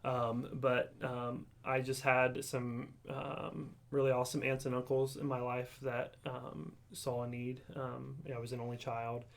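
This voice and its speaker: A man in his forties from Ohio, telling his faith story in a halting, reflective way with many pauses and ums. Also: mid-range voice, little pitch movement, gravelly. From Ohio, USA. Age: 40s. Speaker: guest sharing testimony. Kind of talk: casual conversational testimony.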